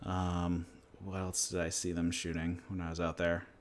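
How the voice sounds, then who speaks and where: A man from New Hampshire hosts a relaxed chat on his channel. His voice sits a bit low, and he rambles from one story to the next at an easy, unhurried pace. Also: a little hiss or hum. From New Hampshire, USA. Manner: rambling, laid-back storytelling. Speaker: channel host. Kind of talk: casual livestream chat and Q&A.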